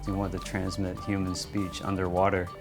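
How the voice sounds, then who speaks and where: A man with a low voice gives explanatory history commentary in a documentary interview. Music plays clearly behind him. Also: completely flat delivery, moderate pace.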